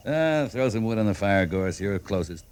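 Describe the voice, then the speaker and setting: A man playing a calm, authoritative American cavalry captain, measured and terse, in an old-time radio drama. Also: on the low side, slight background noise, lightly acted. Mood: dry, easy. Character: steady, authoritative frontier cavalry captain, calm under threat.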